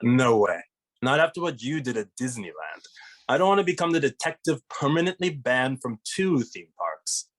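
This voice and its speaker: Man as a weary, deadpan detective, medium pitch. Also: moderate pace, rough recording. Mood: stubborn. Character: weary, serious male ghost detective, older-brother type. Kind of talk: animated comedy table read.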